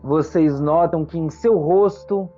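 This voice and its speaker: Mestre de RPG, homem de voz aveludada. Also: male voice, fairly high pitch, warm tone, highs cut off, soft background music. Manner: theatrical, with deliberate pauses. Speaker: game master. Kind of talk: dramatic tabletop game narration.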